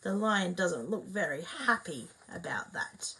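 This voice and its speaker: A woman reading a children's picture book aloud, expressive, pausing to ask her young listeners questions. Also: lowish voice, creaky, slight background noise, low-quality recording. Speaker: storyteller.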